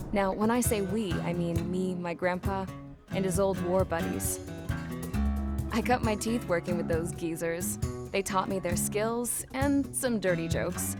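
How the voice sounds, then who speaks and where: A plain-spoken young woman pilot and mechanic, voiced by an actress, narrates with dry humor and a wistful edge. Her mid-pitched voice sits under loud swelling music in a game cutscene. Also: lightly acted. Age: young adult.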